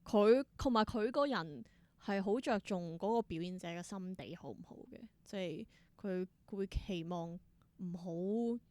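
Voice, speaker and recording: A woman's voice: mid-range voice, a little slow, darkish tone, no echo. Casting: young Hong Kong Cantonese woman, mid-range voice, thoughtful interviewee explaining casually with bits of English, very dry sound. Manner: reflective, explanatory, mixes in English words. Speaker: interview guest, theatre performer and creator.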